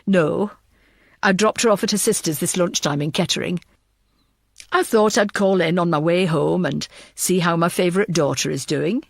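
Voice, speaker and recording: A woman's voice: on the low side, normal speed, vocal fry, dry recording, studio quality. A woman narrator as a wry, genial older father. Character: genial, wry sixty-eight-year-old father.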